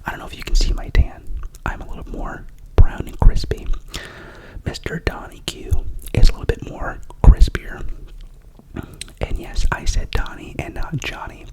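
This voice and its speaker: A man's voice: moderate pace, low-quality recording. A young man with a cough whispering a rambling, chatty ASMR unboxing as the channel's host. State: sick with a cough.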